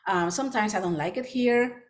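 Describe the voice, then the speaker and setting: A middle-aged woman children's author from Peru, with a Peruvian Spanish accent, giving a presentation with many pauses and restarts. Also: medium pitch. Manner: frequent ums and restarts, earnest. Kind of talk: presentation talk.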